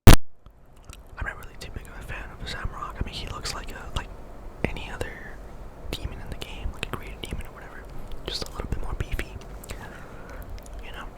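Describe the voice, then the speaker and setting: A man's voice: a little fast, low-quality recording. A man giving casual, rambling ASMR commentary on a video game in a conversational way. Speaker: video game streamer and host. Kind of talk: whispered ASMR gameplay commentary.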